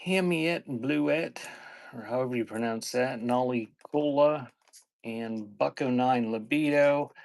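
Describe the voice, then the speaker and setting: A man's voice: mid-range voice, vocal fry. A man hosting a live online sale, reading out items and chatting casually in an offhand way. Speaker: online live-sale seller of vintage cassettes. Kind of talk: casual live-stream selling chat.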